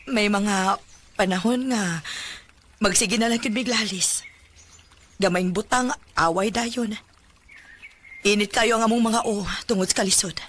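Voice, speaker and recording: A woman's voice: mid-range voice, measured pace, pauses often, a bit bright, slight background noise, close to an everyday voice. A Filipino woman with a Visayan accent playing a weary, struggling abandoned mother in a radio drama. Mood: resigned, sad.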